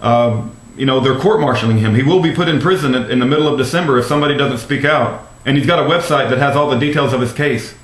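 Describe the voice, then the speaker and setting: A roomy, echoing recording of an American man on a phone call. His pitch is average for a man. He speaks as an earnest, courteous advocate, often hesitating and circling back over his phrases. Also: fast, a bit bright. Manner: hesitant, repetitive, polite. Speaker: activist caller phoning a congressman's office.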